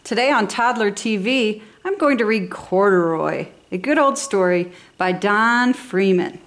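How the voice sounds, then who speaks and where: An enthusiastic woman narrates a children's picture book. Her voice sits at an average pitch for a woman, and she reads expressively, as a storyteller for small children. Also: animated, measured pace.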